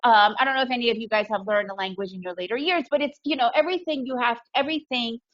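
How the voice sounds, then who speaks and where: A woman's voice: fairly high pitch, fast. A woman raised in the US, with Russian as her first language, gives an upbeat, emphatic webinar talk from home, often asking "right?". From Soviet Union, raised in the US. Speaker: webinar presenter, day trader. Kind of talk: webinar presentation. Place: home in Guatemala. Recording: dull lo-fi webinar audio.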